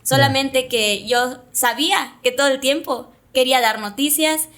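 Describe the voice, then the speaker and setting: Woman, young adult, Maya speaker, hesitant, reflective, fairly high pitch. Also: normal speed, fairly bright tone. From Mayapán, Yucatán, Mexico. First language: Yucatec Maya. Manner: reflective, hesitant, many fillers. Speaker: guest, communicator. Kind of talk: casual interview conversation.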